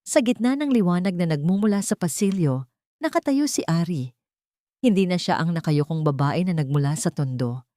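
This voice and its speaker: A woman's voice: lowish voice, lively intonation, normal speed, warm tone, dry recording, clean studio sound. A woman narrating an audio drama as dramatic scripted storytelling.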